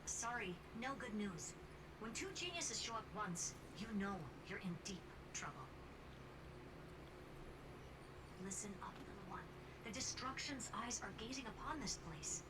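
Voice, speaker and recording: A woman's voice: medium pitch, animated, moderate pace, very noisy, close to an everyday voice. An actress playing a blunt, knowing female genius in a video game, with a wry, emphatic delivery. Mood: wry, grave. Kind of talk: scripted video game character dialogue. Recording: distant voice under loud music.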